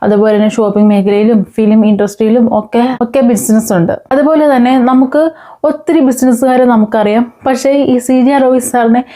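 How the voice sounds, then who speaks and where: A woman with a Kerala accent hosts informal news commentary, talking to viewers in a conversational, hesitant way with frequent pauses. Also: on the high side, fairly even intonation, dark tone. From Kerala, India. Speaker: online news commentary host.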